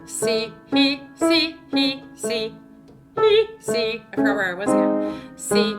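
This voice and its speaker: Woman voice coach, high and bright, big singing voice, loud piano behind her, casual and self-mocking between exercises. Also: slow pace. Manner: sings staccato scale syllables then explains technique in a relaxed spoken voice; self-deprecating, apologizes for slips. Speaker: voice teacher. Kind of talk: vocal exercise lesson with piano. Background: piano accompaniment.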